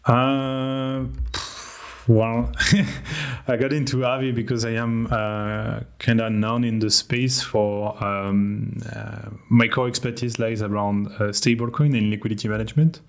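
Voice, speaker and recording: A man's voice: mid-range voice, little pitch movement, measured pace, smooth. A man from France with a French accent, a guest on a podcast, talking candidly with a self-deprecating humor. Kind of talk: casual podcast interview.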